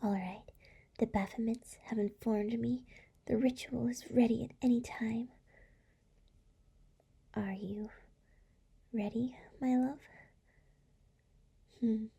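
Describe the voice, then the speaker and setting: A seductive female demon lord, performed by a woman, murmurs intimately in an ASMR roleplay. Her voice is fairly high yet mellow, breathy and often whispered. She speaks softly and tenderly, coaxing the listener. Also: steady tone, exaggerated voice. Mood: tender, grateful. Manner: slow, soft, coaxing.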